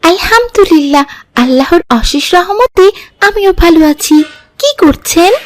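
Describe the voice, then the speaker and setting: A woman's voice: very high-pitched, expressive, measured pace, dry recording, studio quality. A woman playing a polite, pious young daughter in an Islamic cartoon, respectful and earnest.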